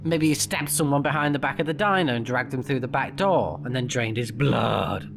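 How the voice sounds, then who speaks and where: A man doing casual let's play commentary, blunt, sweary and expressive, sometimes reading game text aloud. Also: mid-range voice, moderate pace, gravelly. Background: game music.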